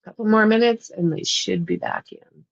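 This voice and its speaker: A woman's voice: mid-range voice, huge pitch swings, moderate pace, gravelly, no room sound, highs cut off. A woman with a Canadian accent hosting a livestream, chatting casually with viewers in a rambling way and laughing often. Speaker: livestream host. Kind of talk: casual chat with live viewers.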